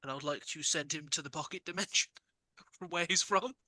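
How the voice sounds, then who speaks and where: Bright-voiced man at a game table. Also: on the high side, a little fast, dry recording, low-quality recording. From English Midlands. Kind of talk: casual banter during a tabletop roleplaying game.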